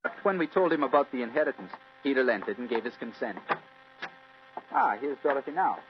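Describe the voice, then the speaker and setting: An actor playing a polite, earnest young heir in an old-time radio drama. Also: male voice, mid-range voice, expressive, a little fast, background noise, close to an everyday voice. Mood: earnest, happy. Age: young adult. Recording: dull vintage radio recording.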